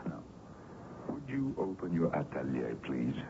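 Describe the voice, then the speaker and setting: An actor playing a middle-aged, domineering American colonel with a smooth, bossy, menacing air in an old-time radio drama. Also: male voice, rumbling low, sing-song, unhurried, full character voice. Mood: quietly menacing. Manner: overbearing, commanding. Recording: dull, very noisy old radio recording.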